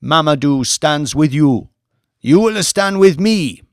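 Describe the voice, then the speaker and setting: A man's voice: fairly high pitch, lively intonation, slow, no room sound, clean studio sound, voice pushed up. A man voicing a fervent female African protest leader giving a declamatory rally speech in an audiobook. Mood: rousing, insistent. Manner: declamatory, exclamatory.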